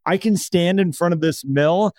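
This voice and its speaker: A man co-hosting a podcast in casual conversation, telling things in an animated way and voicing imagined quotes. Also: high-pitched, moderate pace, dry recording, clean studio sound. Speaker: podcast co-host.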